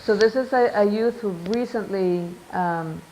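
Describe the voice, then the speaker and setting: A middle-aged woman giving an academic seminar talk, measured and thoughtful, often pausing with "um" and checking in with "right?". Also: medium pitch, a little mellow, a little hiss or hum, low-quality recording. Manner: measured, reads out quotes, frequent ums and "right?". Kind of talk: academic lecture.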